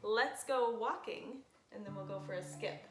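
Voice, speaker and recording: A woman's voice: medium pitch, moderate pace. A woman co-hosting a singalong for young children, prompting them with simple questions and instructions. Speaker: children's storytime co-host. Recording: roomy echo, loud acoustic guitar music. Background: acoustic guitar music.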